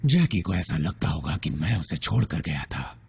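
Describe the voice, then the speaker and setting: A man's voice: low-pitched, sing-song, normal speed, vocal fry, a little mellow, breathy edge, exaggerated voice. A man playing a loyal, good-natured robot soldier in a cartoon, friendly and earnest, with a peacemaker's manner. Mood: reflective. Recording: dull sound with background noise.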